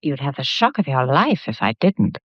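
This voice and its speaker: An actress playing a composed, affectionate young English heiress in a conversational radio drama. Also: female voice, very deep voice, big pitch swings, moderate pace, over loud music. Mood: playful, affectionate. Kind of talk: mid-century radio drama acting, conversational. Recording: dull, muffled vintage radio recording.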